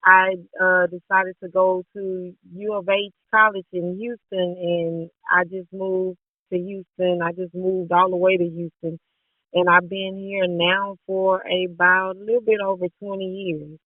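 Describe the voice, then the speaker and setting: A woman's voice: on the low side, level tone, a little slow, dry recording. A woman singer from southeast Texas, telling her story as a radio guest. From southeast Texas and Louisiana. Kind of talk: phone-in interview. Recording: tinny phone line.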